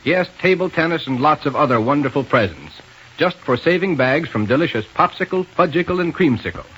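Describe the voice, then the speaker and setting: A man's voice: on the high side, expressive, a little fast, fairly bright tone. An American man announcing an old radio serial and its sponsor with an enthusiastic, emphatic sales delivery. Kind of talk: 1930s radio announcing and ad reading. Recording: muffled, hissy old radio recording.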